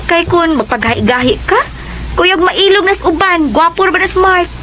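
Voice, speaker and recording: A woman's voice: extremely high pitch, moderate pace. A woman playing a teasing, nudging teenage friend in a Cebuano radio drama, with a Cebuano Filipino accent. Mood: teasing, urging.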